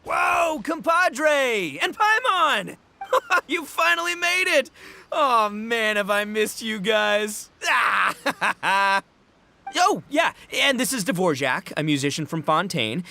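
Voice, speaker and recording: A man's voice: high-pitched, very animated, slow pace, vocal fry, pushed and bright, heavily acted. A man voicing a boisterous, boastful young oni gang boss and goofy rocker in a video game, bombastic and slangy. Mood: excited. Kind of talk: animated video game voice acting.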